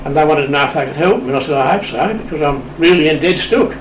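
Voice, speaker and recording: A man's voice: fairly high pitch, fast talker. An older Australian man telling a casual anecdote as an interview guest, in a wry, playful, understated way. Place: radio shack room. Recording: roomy, distant mic, clear background noise.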